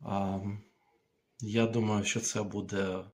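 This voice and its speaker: A man giving an informal recorded first reaction as an author, thoughtful and halting. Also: on the low side, level tone, a crowd in the background. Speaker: book author.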